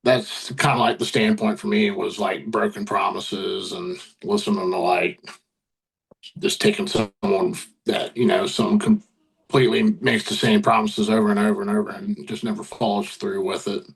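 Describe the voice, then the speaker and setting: A man answering interview questions casually as a musician, haltingly searching for his words. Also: on the low side, gravelly, breathy edge, poor audio.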